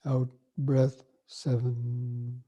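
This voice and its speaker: Man leading a guided breathing meditation, very slow, dead-level delivery with a dark, mellow tone. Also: mid-range voice, lo-fi sound. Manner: slow, soft and even, with long pauses. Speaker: meditation teacher.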